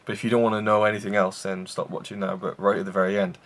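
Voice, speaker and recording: A man's voice: lowish voice, little pitch movement, fast talker. A man hosting a casual film review show, rambling with enthusiasm and many fillers and restarts.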